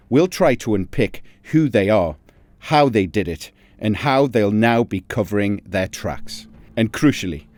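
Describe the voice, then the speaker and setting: Low-voiced Geordie man hosting a crime podcast. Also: a little mellow, no room sound. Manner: dramatic storytelling, jokey. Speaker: podcast host, crime journalist. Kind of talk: scripted narration then casual chat.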